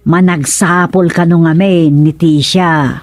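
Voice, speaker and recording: A woman's voice: lowish voice, slow, no room sound, faint music behind. A woman playing a catty, outspoken village gossip in a radio comedy drama, with an Ilocano accent and a mocking manner. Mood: mocking, gossipy. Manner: animated, mocking.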